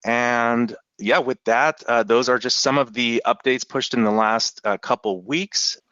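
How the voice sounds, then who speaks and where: A man hosting a software training webinar, talking in a relaxed way with frequent fillers. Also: medium pitch, measured pace, dry recording, muffled recording. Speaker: webinar host.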